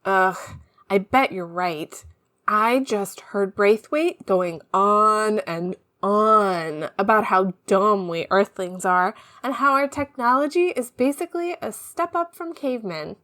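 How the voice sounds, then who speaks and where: A woman's voice: medium pitch, slow, fairly bright tone. A woman playing a chatty, slangy, conspiratorial narrator in an audio comedy, talking casually and gossipily. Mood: urgent, conspiratorial. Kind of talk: conversational audio drama narration.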